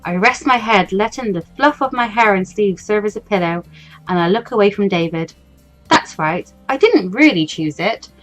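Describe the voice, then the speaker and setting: A woman's voice: mid-range voice, animated, moderate pace, lightly acted, poor audio. A woman voicing an anxious, awkward fourteen-year-old schoolgirl from a visual novel, hesitant and self-doubting. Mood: gloomy, doubting. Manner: hesitant, stammering, over-thinking. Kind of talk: visual novel character reading. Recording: music behind the voice.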